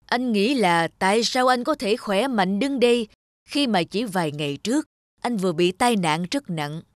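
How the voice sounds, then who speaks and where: A woman voicing a stern, solemn male spirit of death who speaks reproachfully in an animated fairy tale. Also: medium pitch, moderate pace, dry recording, studio quality, natural voice.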